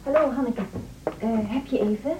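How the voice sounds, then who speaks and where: An actress in a Dutch radio drama playing a pushy, gossiping woman colleague who confides and flatters. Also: a little high, moderate pace, very noisy, natural voice. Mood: confiding. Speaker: actor. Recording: muffled recording with music behind.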